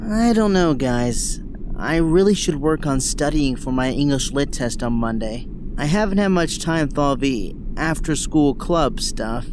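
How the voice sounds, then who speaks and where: A man's voice: on the high side, expressive, measured pace, noisy background. A man acting a shy, studious teenage boy in an audio drama, speaking hesitantly and earnestly. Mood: reluctant.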